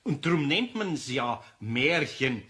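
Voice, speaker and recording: Animated Bavarian master carpenter. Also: male voice, medium pitch, slow, heavily acted. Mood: patient, amused. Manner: folksy, animated, chuckling speech with interjections. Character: good-natured older Bavarian master carpenter, easily amused. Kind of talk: German children's radio play acting.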